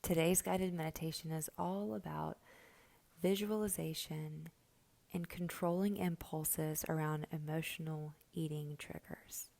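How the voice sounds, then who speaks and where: Slow, hushed woman guiding a meditation. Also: sits low, steady tone. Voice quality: soft, breathy, often near whisper. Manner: gentle, slow, instructing with long pauses. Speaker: guided meditation host. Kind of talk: slow guided meditation narration.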